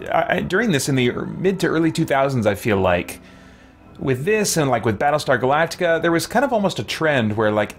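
A man's voice: mid-range voice, a little hiss or hum. A man, giving casual, rambling live gameplay commentary indoors at home. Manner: chatty, rambling, animated fan talk. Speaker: game streamer. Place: small room at home, indoors. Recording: faint game sound behind voice. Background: video game ambience.

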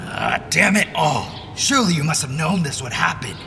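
A man's voice: a little high, animated, normal speed, gravelly, background noise. An actor playing an anxious, skeptical man in a fantasy audio drama, questioning and agitated in manner. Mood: alarmed, exasperated. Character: anxious, skeptical male companion monitoring dream connections.

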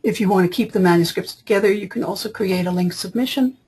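A woman software trainer narrating a screen tutorial in an explanatory, step-by-step way, with a husky voice. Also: mid-range voice, fast talker, a little hiss or hum. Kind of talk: screen-capture tutorial narration, semi-improvised. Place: voice-over for screen recording.

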